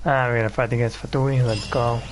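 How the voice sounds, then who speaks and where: A man with a mid-pitched voice comments casually while playing a game. There is faint noise behind his voice. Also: little pitch movement, fairly quick. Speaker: gaming channel player.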